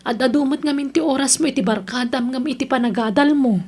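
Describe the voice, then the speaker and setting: A woman's voice: sits high, quick pace, a little mellow, slightly rough. A woman with an Ilocano accent playing a patient, pleading mother in an audio drama, earnest and coaxing. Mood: pleading, encouraging. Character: patient, pleading mother urging her child onward.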